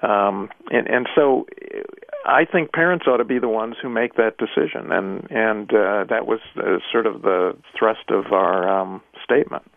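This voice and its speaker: Gravelly male doctor on a phone line. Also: fairly low pitch, measured pace, dry recording. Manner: hesitant, filler-heavy, careful. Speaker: pediatrician guest. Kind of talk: measured expert explanation.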